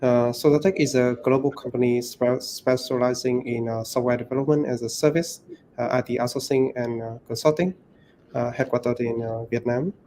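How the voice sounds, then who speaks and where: A man representing a tech company, giving a prepared introduction in a halting way with pauses mid-phrase. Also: medium pitch, fairly even intonation, mellow, slight background noise.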